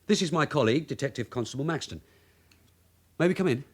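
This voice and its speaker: Lively, streetwise London police sergeant. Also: male voice, on the high side. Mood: polite, official. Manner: brisk, commanding, clipped. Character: streetwise, bossy long-serving male London detective sergeant. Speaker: lead actor in a radio crime drama. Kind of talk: naturalistic British radio drama acting.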